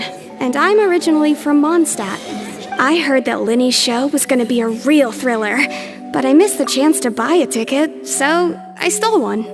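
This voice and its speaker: Sheepish high-voiced young thief. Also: female voice. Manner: hesitant, explanatory. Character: sheepish young woman petty thief, nervous and candid. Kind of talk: game cutscene acting.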